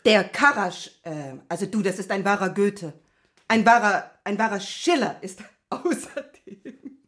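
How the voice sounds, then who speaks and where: An actress playing a mocking, amused wife in a German radio drama, with a husky voice. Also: female voice, mid-range voice, a little slow, a bit bright. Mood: tipsy-sounding mockery. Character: mocking, amused wife, laughing at literary pretension. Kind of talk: German radio play acting.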